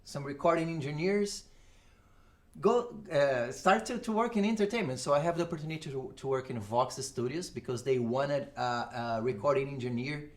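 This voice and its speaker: A man in his forties from Brazil with a Brazilian Portuguese accent, chatting as an interview guest in halting English full of restarts. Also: medium pitch. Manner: halting non-native English with many restarts. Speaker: guest, audio engineer. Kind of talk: casual interview chat.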